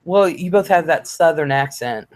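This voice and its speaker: A woman's voice: low-pitched, expressive, normal speed, darkish tone. A woman hosting a livestream in casual chat, chatty and laughing, with many fillers and free swearing. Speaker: livestream host.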